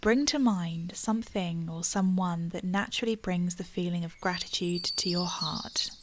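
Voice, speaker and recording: A woman guiding a gratitude meditation in a slow, soft, soothing voice that drops to a breathy near-whisper at times. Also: fairly low pitch, noisy background. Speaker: meditation guide. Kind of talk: guided meditation.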